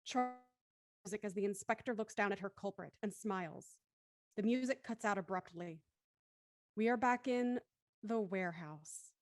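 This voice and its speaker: A woman's voice: mid-range voice, normal speed, dry recording, close to an everyday voice. A woman narrating an audio thriller in scripted present-tense prose.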